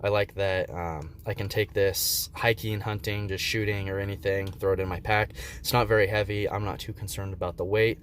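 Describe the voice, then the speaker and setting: Male amateur reviewer, deep register, easygoing and hesitant, unscripted, slight background noise. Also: fairly even intonation, normal speed, few pauses, fairly bright tone. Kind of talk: casual unscripted product review.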